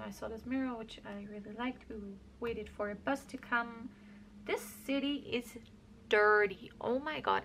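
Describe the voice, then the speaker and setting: Young female crafter from Austria, chatty and meandering, gravelly fry, faint background noise. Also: fairly high pitch, a bit bright. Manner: rambling, self-correcting, chatty. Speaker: craft vlogger. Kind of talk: casual scrapbook walkthrough.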